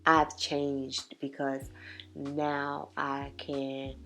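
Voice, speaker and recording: Woman, low voice, congested, chatty beauty vlog, soft music behind. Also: monotone, low-quality recording. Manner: chatty, opinionated, drawn-out emphasis. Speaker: beauty vlogger. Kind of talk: casual makeup chat to camera. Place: home makeup setup with ring light. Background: soft background music.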